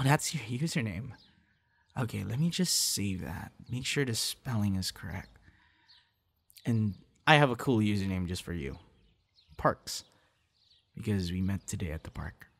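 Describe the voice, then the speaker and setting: A friendly young man, acted out by a male performer, chats one-on-one in a casual ASMR roleplay. His voice sits on the low side with a crackly, gravelly edge. He is a little shy and hesitant, with a soft teasing streak. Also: animated, a little slow, long pauses, heavily acted. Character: friendly, shy young male budding voice actor meeting stranger.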